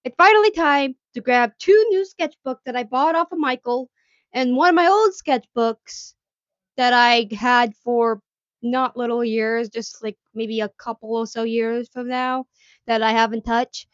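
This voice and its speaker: A woman craft creator chatting casually and haltingly to camera, recorded with dull, lo-fi sound. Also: on the high side, animated, no echo. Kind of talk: casual chat to camera introducing a project.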